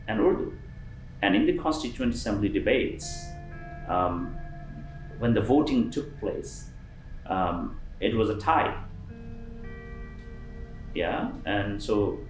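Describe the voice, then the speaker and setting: A man being interviewed as a linguist, explaining thoughtfully with frequent pauses and restarts and lively emphasis. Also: on the low side, echoey room, rough recording.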